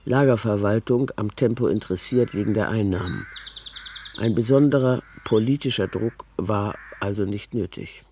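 A man's voice: fairly low pitch, moderate pace, noisy background. A man narrating a scripted documentary text in a terse, factual, report-like manner.

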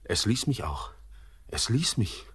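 An actor playing a haunted young miner, torn and feverish, speaking in a hushed, anguished manner in a classic radio play. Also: male voice, lowish voice, sing-song, slow pace, breathy edge, full character voice. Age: young adult. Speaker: Elis, the miner.

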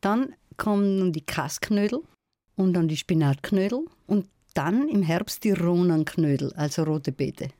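Mellow-voiced South Tyrolean woman, dry studio. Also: fairly low pitch, animated, no echo. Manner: thoughtful, measured, storytelling. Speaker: guest, folklorist. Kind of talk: radio interview.

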